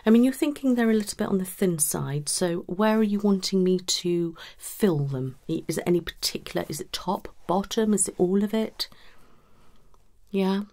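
A British woman in her thirties speaking softly and reassuringly in an ASMR consultation roleplay, close to the microphone. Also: mid-range voice, expressive. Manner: reassuring, unhurried, conversational questioning. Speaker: ASMR performer playing a cosmetic surgery consultant. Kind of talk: ASMR roleplay consultation, soft-spoken with some whispering. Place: small indoor room set up as a clinic.

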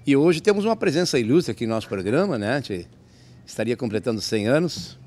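A man's voice: medium pitch, sing-song, quick pace, a little mellow. A man, hosting a TV program in a declamatory announcer style from a wooden stage at an outdoor festival camp. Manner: declamatory announcer delivery, rising to big exclamations. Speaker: TV show host. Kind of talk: enthusiastic TV presenting.

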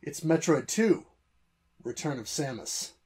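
A man's voice: on the high side, very animated, a little slow, darkish tone, breathy edge. A middle-aged man hosting a video game review, narrating from a script in a wordy, theatrically formal way.

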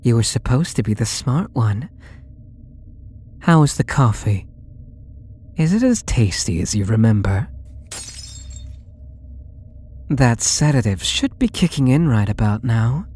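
A man's voice: medium pitch, sing-song, darkish tone, slight background noise. A young man playing a possessive, sweetly menacing male stalker in an ASMR roleplay audio drama, with a taunting, coaxing manner. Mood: mocking. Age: young adult. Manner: slow, taunting, coaxing delivery.

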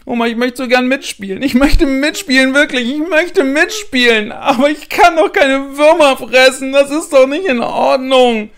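A man voicing a tearful little girl who pleads to join in, in a high voice close to crying. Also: rapid-fire, few pauses, a little mellow. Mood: pleading. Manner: high, pleading, on the edge of tears.

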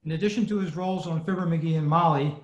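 A man presents prepared narration over a video call. His voice sits a little high, and the sound is dull and roomy. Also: quick pace. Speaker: club presenter. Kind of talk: scripted narration.

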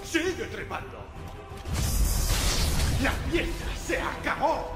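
A man's voice: extremely high pitch, wildly swooping pitch, slow, creaky, full character voice. A man voicing a boastful, taunting supervillain in a video game fan dub, with a mocking, theatrical delivery. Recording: very loud game music over voice.

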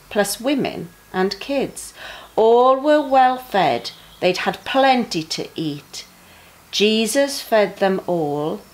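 A middle-aged woman reads a bedtime story for young children. Her pitch rises and falls in a very animated way, while her pace stays slow and measured. She phrases things emphatically, as an expressive storyteller does. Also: rough recording. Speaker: bedtime story reader for a junior church. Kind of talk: expressive read-aloud of children's Bible stories.

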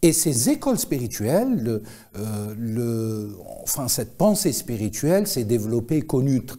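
Mellow-voiced man, scholarly studio guest. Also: medium pitch, sing-song, unhurried. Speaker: guest expert, Islamic scholar. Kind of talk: explanatory interview answers. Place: TV studio, indoors.

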